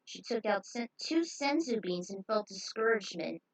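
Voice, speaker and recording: A woman's voice: medium pitch, expressive, normal speed, dry recording, low-quality recording. A woman narrating action fan fiction aloud as a dramatic story reading.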